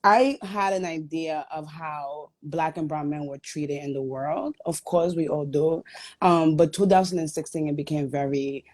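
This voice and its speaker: A woman's voice: deep voice, unhurried, a little mellow. A woman from Guatemala raised in the Bronx, a guest business owner earnestly telling how her brand began. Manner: earnest, storytelling with "um" fillers. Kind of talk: telling her story in a live chat.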